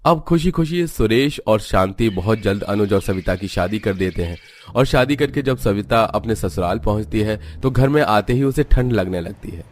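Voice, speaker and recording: A man's voice: on the low side, expressive, normal speed, darkish tone. A man reading scripted narration for a story as its narrator.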